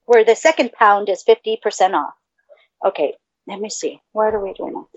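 A Mexican American woman from the Texas border co-hosting a casual podcast, excitable, laughing easily and swearing freely. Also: medium pitch, normal speed, no room sound, muffled recording. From Texas border region, USA. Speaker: podcast co-host. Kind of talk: casual chat.

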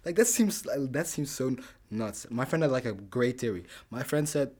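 A man's voice: mid-range voice, animated, moderate pace, a little mellow. Young man chatting with lots of fillers. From Rwanda, raised in the Netherlands. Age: young adult. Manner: rambling, laughing, lots of fillers and restarts. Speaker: podcast co-host. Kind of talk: casual chat.

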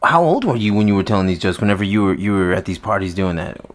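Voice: deep voice, animated, fast. A co-host in a casual conversation who jokes around and laughs often. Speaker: podcast co-host. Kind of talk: casual chat.

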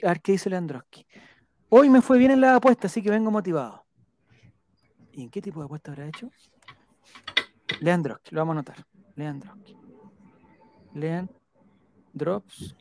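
A live stream host with a Chilean accent talks casually in a dry, close sound. The delivery is playful and rambling, with viewer comments read aloud and names repeated slowly back. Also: medium pitch, gravelly, darkish tone, dry recording. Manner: rambling, repeats names while jotting them down; playful, joking.

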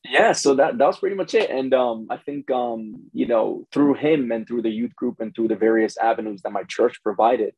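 A man's voice: medium pitch, normal speed, few pauses, darkish tone, husky, dry recording, rough recording. A man from Ghana who grew up in the United States, speaking as a pastor and guest in a conversational interview, often saying "you know". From born in Ghana, grew up in the United States from preteens.

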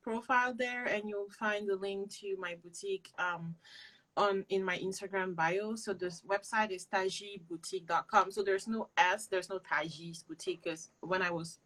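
A woman's voice: medium pitch, steady tone, moderate pace, fairly bright tone, poor audio. A young woman from Cameroon, speaking in a casual interview as a guest and giving earnest advice with some fillers. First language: not English. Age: young adult. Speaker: guest, boutique owner.

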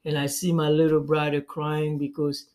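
A man with a Congolese accent speaks in a slightly high voice. He answers interview questions reflectively as a pastor, often pausing and restarting words while he thinks. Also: monotone, darkish tone. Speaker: guest, pastor.

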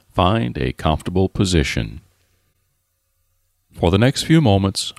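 Deep-voiced, slow-paced male meditation guide. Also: no echo, clean studio sound. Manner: calm, soothing, deliberate delivery with pauses. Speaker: guided relaxation narrator. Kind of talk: scripted guided meditation narration.

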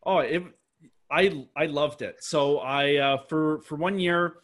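A man's voice: fairly high pitch, slow pace, a bit bright. A man in his thirties with a Canadian accent, telling his story as a podcast guest with restarts and analogies. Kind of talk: casual interview answers.

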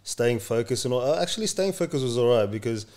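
A man's voice: mid-range voice, lively intonation, fast, a little mellow. A young man from Indonesia, a podcast guest, talking in a laid-back, rambling way with lots of fillers. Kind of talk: casual chat.

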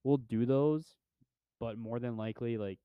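A man's voice: medium pitch, moderate pace, clear, resonant tone, no echo, narrow, tinny audio. A young man co-hosting a podcast, chatting casually about movies in a laid-back, rambling way with lots of fillers. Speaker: podcast co-host. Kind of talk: casual movie review chat.

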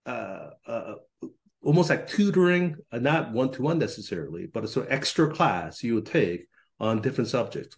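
A middle-aged man from Korea talks as a guest in a relaxed interview. His voice sits a little low, and he picks his way through his thoughts with frequent ums and self-corrections. The delivery is measured and reflective. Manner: thoughtful, hedging with many ums and restarts. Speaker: professor and podcast guest. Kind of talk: conversational interview.

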